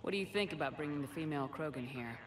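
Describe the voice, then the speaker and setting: A woman playing a steady, direct human military commander in a video game, asking questions in a businesslike way. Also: low voice, racing. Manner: businesslike, probing. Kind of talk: video game cutscene acting. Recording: slightly distant, faintly noisy game capture.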